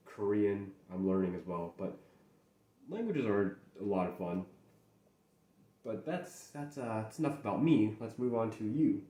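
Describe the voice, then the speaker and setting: A young man in casual solo chat as host, rambling and halting with many restarts, mentions speaking English natively. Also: on the low side, a little mellow. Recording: echoey room.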